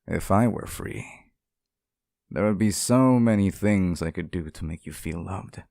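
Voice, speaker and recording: Casting: male actor as sweet, possessive sealed demon, ASMR roleplay. Low gravelly voice, dry close sound, soft pleading delivery. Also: unhurried, dry recording. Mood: eager, devoted. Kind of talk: ASMR roleplay, intimate scripted monologue to the listener.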